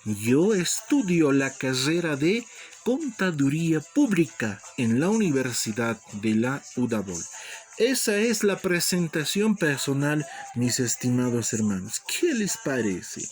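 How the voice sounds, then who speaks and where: A man teaching an Aymara lesson, repeating each phrase slowly and calling his students brothers and sisters. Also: mid-range voice, big pitch swings, faint music behind. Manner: slow, deliberate repetition of phrases. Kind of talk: online language lesson.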